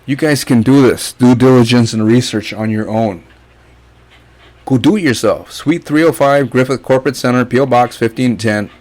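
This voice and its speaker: A man's voice: medium pitch, moderate pace, a little mellow. A man from Guyana talking through a screen share in a casual, blunt and mocking rant, cursing freely as he walks viewers through what he finds. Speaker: online income youtuber.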